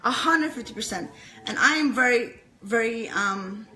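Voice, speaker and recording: A woman's voice: fairly high pitch, expressive, normal speed, bright, forceful tone, rough recording. A woman speaking as an interview guest, telling a personal story in an earnest, emphatic way with repeated phrases. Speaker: interview guest, fan club founder.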